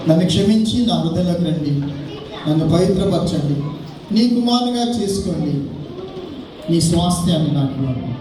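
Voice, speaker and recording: High-voiced man preaching in an echoey hall. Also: lively intonation, a little fast, pauses often. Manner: exhortative, speaking in short phrases with rhetorical questions. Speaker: children's gospel preacher. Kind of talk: children's sermon and led prayer. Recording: echoey hall, distant mic, noisy crowd.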